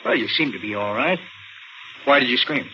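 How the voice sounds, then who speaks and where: Skeptical county detective played by an actor, American accent, easygoing, animated intonation, 1940s radio drama, muffled noisy recording. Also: male voice, medium pitch, moderate pace, lightly acted. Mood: businesslike.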